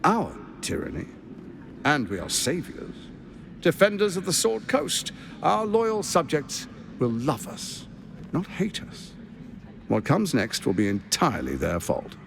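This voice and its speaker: An actor playing a scheming, grandiose tyrant lord in a video game, commanding and persuasive in a theatrical way. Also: male voice, fairly high pitch, huge pitch swings, measured pace, vocal fry, background noise, exaggerated voice. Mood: grandiose, triumphant. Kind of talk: video game cutscene acting.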